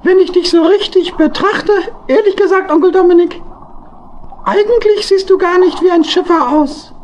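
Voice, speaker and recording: Very high-pitched chatty little hedgehog voiced by a woman. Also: normal speed, darkish tone, a little hiss or hum. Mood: envious, wistful. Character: small male hedgehog, chatty, lonely, eager bachelor. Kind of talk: German children's audio play acting.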